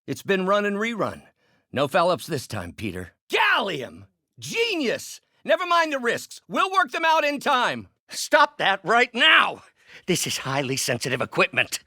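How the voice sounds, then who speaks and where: A man's voice: mid-range voice, sing-song, moderate pace, pushed and bright, no echo, shouting, studio quality. An actor playing a brilliant older male scientist in a video game, articulate and professorial, a mentor with a bitter, menacing edge. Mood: exasperated. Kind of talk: dramatic video game voice acting.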